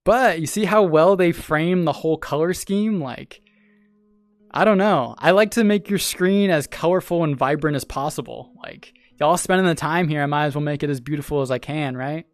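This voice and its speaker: A talkative male streamer with a fairly high voice, recorded dry and close to the mic. He chats loosely with his viewers and laughs often, with a smooth salesman-style delivery. Faint music plays underneath. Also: normal speed, no echo. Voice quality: voice people call made for radio and sales. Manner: chatty, laughs often, repeats himself. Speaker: streamer and podcast host. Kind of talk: casual livestream chat with viewers.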